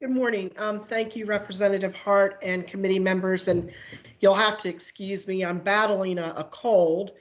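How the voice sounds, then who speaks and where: A hoarse woman giving measured prepared testimony at a legislative committee hearing, with frequent "um"s. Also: medium pitch, fairly even intonation, dull audio. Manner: measured, read-out delivery with frequent "um". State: hoarse from a cold.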